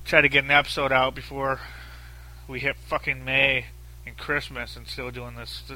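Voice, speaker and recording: A man's voice: mid-range voice, flat delivery, a little slow, sharp tone, poor audio. A man with a very nasal voice, hosting a solo podcast in a blunt, profane, rambling way with lots of fillers. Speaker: podcast host. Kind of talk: casual solo podcast talk.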